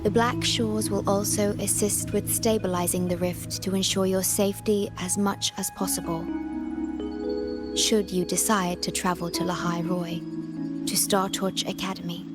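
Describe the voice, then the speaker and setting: An actress playing a composed, protective guardian in a video game, speaking in a formal, measured way. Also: female voice, medium pitch, flat delivery, over loud music, lightly acted. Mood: calm, assuring.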